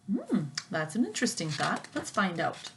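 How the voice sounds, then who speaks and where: A woman reading a children's picture book aloud like a teacher, with expressive, rhythmic phrasing aimed at young listeners. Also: mid-range voice, normal speed, noisy background. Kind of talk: children's storybook read-aloud.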